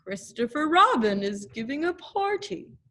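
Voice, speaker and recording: A woman puts on a stuffy storybook owl who thinks himself wise. He talks in measured, pompous phrases with big rises and falls in pitch. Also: big pitch swings, sharp tone, exaggerated voice. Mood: self-important. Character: self-important male owl, stuffy and would-be wise.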